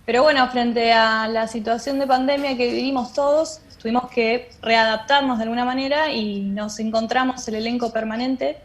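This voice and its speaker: A woman's voice: a little high, fairly even intonation, moderate pace, hardly any pauses. A woman with an Argentine accent explaining things as a guest in an interview. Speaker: interview guest, theatre director and drama teacher.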